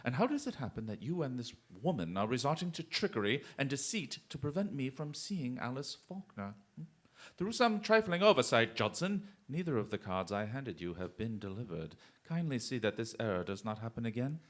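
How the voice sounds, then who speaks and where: A man acts out a shrewd gentleman detective for a radio play. His speech is formal, precise and coolly polite, swinging through a wide, animated pitch range with an air of total command. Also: a little fast. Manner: formal, precise, theatrical diction. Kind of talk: radio drama acting.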